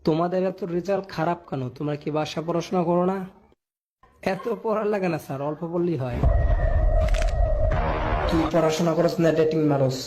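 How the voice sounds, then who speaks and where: A man acting in a comic classroom skit with brisk, colloquial banter, on a noisy recording. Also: fairly high pitch, fairly even intonation, lightly acted.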